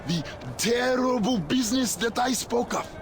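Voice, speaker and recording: An actor playing a fervent male ex-warlord turned preacher, booming and scripture-quoting, in a video game. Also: normal speed, background noise. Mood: preaching.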